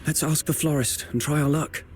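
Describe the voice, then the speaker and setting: A man's voice: on the high side, steady tone, a little fast, faint music behind, close to an everyday voice. A man playing an earnest, cautious young hero in a video game, with a restrained, polite delivery. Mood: practical.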